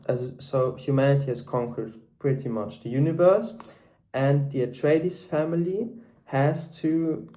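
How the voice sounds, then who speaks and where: A man's voice: mid-range voice, mellow, low-quality recording. A sixteen-year-old boy from Switzerland with a Swiss German accent, a native German speaker reviewing books in hesitant, casual talk full of restarts. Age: teens, 16. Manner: hesitant, with many restarts and repeated words. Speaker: book vlogger. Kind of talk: casual unscripted book review.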